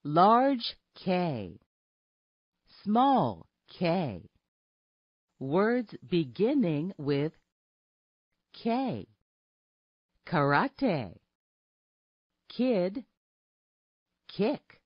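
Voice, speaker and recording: A woman reading a scripted phonics lesson for young children, saying words and short phrases deliberately and separately. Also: fairly low pitch, very animated, breathy edge, no echo, highs cut off. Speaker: narrator of a children's alphabet app.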